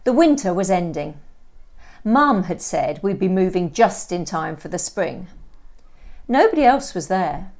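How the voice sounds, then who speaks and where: A woman reads a story aloud as a teacher would, with expressive, clearly phrased delivery. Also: fairly low pitch, unhurried. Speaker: English teacher.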